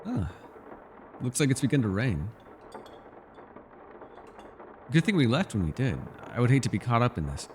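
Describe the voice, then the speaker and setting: Man voicing a wry bookish elf, animated and echoing. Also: mid-range voice, brisk, a little hiss or hum, lightly acted. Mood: brisk. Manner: wry, wordy and formal, flustered when pressed. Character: bookish, witty, guarded male elf companion. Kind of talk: fantasy ASMR roleplay acting.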